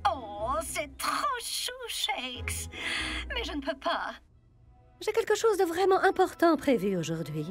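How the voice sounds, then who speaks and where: Poised cartoon woman, high voice, very expressive, polite and sweet. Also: takes it slowly, faint music behind, heavily acted. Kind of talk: French cartoon dub.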